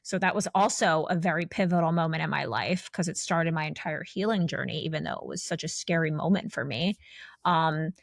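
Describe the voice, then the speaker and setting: A woman's voice: deep voice, fairly quick, vocal fry, no room sound, clean studio sound. A woman in her forties co-hosting a podcast, talking candidly in a rambling, casual way with many restarts. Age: 40s. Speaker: podcast co-host.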